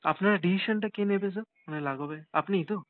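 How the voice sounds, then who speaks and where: A man's voice: sits high, quick pace, no room sound. Deferential salesman on a tinny phone line. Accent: Bengali, West Bengal. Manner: deferential, hesitant. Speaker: sales engineer. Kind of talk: business phone negotiation. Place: recorded phone call. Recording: tinny, narrowband phone call.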